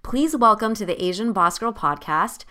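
A woman co-hosting a podcast, reading a guest's introduction in a bright, scripted way. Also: mid-range voice, expressive, normal speed. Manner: bright, formal, scripted delivery. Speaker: podcast co-host.

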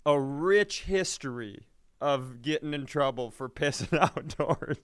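A man's voice: medium pitch, a little slow. A man co-hosting a comedy podcast, bantering casually with profane, self-mocking jokes.

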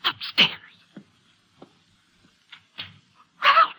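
Frightened farm wife, piercingly bright voice. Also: female voice, unhurried. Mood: panicked. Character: frightened, insistent farm wife, anxious and pleading. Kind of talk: 1950s radio drama acting. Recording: noisy, clicking old radio recording.

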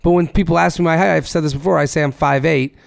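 A man's voice: on the high side, steady tone, quick pace, creaky, no echo, clean studio sound. A man co-hosting a podcast, chatting casually, reading listener emails aloud and swearing freely. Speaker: podcast co-host. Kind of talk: casual chat.

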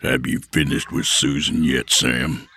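A man voicing a surly, menacing male bully who talks low, slow and threatening. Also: steady tone, sharp tone, breathy edge, full character voice. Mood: probing.

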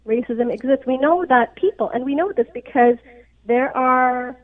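A woman's voice: high voice, normal speed. A woman physician, originally from Pakistan, speaking as a radio interview guest in an earnest, explanatory way, often checking in with "right?". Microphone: phone call. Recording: tinny phone line.

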